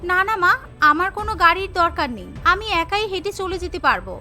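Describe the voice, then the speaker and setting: Young cartoon daughter-in-law, female performer, high, modest and deferential, music underneath. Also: sing-song, fast talker, pushed and bright, full character voice. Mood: modest, polite. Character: meek, polite young bride from a poor family. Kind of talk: cartoon character dialogue.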